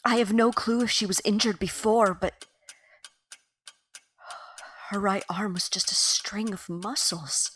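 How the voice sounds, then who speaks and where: A woman's voice: mid-range voice, a little slow, pauses often, fairly bright tone, a little hiss or hum, natural voice. A woman playing a wry, composed Victorian mother in an audio drama, with a formal, dry manner. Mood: grave, hushed. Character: wry, proper middle-class Victorian mother.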